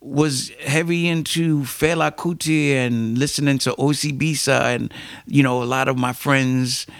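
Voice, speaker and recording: Gravelly-voiced man, thoughtful and self-correcting. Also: medium pitch, steady tone, measured pace, no echo. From United States. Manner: thoughtful, often rephrases himself mid-sentence. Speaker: interview guest, poet and musician. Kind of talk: reflective conversational interview.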